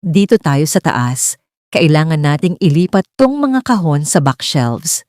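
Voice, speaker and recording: A woman's voice: deep voice, very animated, measured pace, a little mellow, faint music behind. A woman voicing a joking, easygoing, flirtatious male store supervisor in a romance audio story, with a breezy, teasing manner. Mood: casual, joking.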